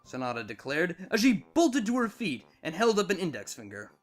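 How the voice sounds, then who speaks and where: A man narrating a scripted story with dramatic, expressive delivery. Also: high voice, a little fast. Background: background music.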